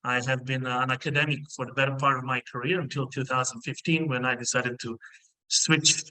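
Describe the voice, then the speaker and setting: Bright-voiced Bosnian-born executive, halting pace. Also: male voice, medium pitch, flat delivery, rough recording. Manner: measured, many filler pauses. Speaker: mining company CEO, guest. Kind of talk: business interview over video call. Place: indoor room. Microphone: video call.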